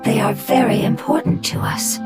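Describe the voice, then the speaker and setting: A performer voicing a small forest spirit creature in a video game, who speaks in a formal, solemn, breathy way. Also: medium pitch, moderate pace, close to an everyday voice. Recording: loud game soundtrack playing behind. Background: loud game soundtrack music.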